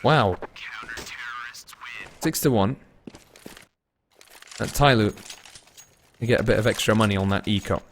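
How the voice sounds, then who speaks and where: A man commentating solo on a live game stream, mixing play-calling with casual chat to viewers and shifting between excited and low-key delivery. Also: measured pace, pauses often. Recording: noisy with game gunfire and clicks. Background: game gunfire and clicks.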